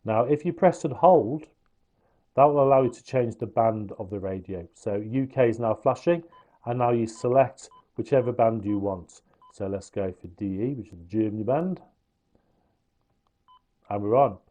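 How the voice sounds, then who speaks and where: A man with a soft-edged, mellow voice talks through a piece of gear in an informal review. He explains each feature plainly and matter-of-factly, in a relaxed tone at a middling pitch. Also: moderate pace. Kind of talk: informal product review and demonstration.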